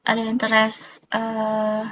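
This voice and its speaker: Higher-pitched young woman, muffled recording. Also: completely flat delivery, slow pace, fairly bright tone, a little hiss or hum. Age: young adult. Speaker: university student presenter. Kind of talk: explanatory accounting presentation.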